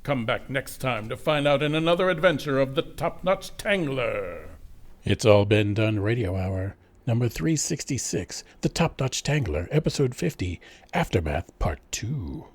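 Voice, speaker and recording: Male serial announcer: medium pitch, husky tone, dry close recording, scripted narration. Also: expressive, a little slow, no room sound.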